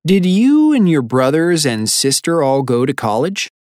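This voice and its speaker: A woman's voice: low voice, big pitch swings, a little slow, darkish tone, no echo, studio quality. A woman reading an interviewer's questions plainly in a scripted textbook dialogue. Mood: curious.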